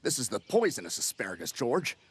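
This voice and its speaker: A man's voice: medium pitch, big pitch swings, brisk, very noisy, natural voice. A man voicing a fussy, brainy, exasperated talking ape in a cartoon, with a theatrical manner. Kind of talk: animated cartoon voice acting. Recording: music behind the voice.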